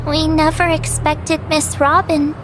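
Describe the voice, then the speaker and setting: A woman's voice: high voice, little pitch movement, a little slow. A woman playing an earnest, inquisitive young girl adventurer in an anime-style video game. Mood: earnest, concerned. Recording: loud music behind voice.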